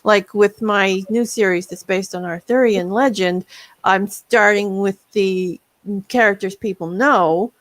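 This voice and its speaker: A woman chats casually in a panel discussion, explaining in an informal way. Her pitch is medium for a woman. The recording is dry, with almost no echo. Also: steady tone, measured pace. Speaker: author, panel guest.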